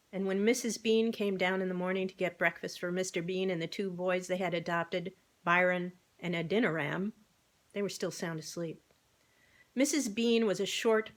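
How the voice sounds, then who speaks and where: A woman casually reading a children's book aloud as narrator, with informal asides. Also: medium pitch. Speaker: amateur storybook reader.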